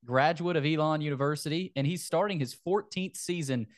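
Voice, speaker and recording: Man, sports show host, casual talk, slightly high pitch, dry recording. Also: brisk, no room sound.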